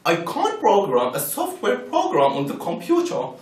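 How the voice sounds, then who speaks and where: A man's voice: sits high, wildly swooping pitch, moderate pace, far from the mic. A man playing a brash, scornful atheist in a scripted debate, challenging and impatient. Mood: defensive. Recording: roomy echo, off-mic.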